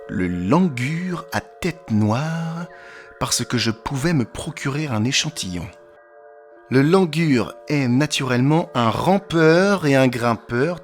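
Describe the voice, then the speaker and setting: An actor playing a brisk, provocative detective in an audio drama, with a theatrical, declamatory delivery. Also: male voice, medium pitch, lightly acted. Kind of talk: French audio drama acting.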